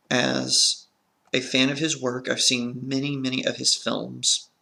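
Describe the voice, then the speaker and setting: A man's voice: medium pitch, gravelly, bright, forceful tone. A man with a crackly voice from a sore throat, talking as an earnest film review host in a casual vlog style.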